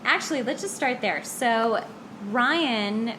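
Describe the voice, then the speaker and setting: A woman's voice: a little high, lively intonation, takes it slowly. A woman with a Californian accent chatting casually and playfully as a podcast host. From California, United States. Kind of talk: casual chat with a guest. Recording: noticeable background noise.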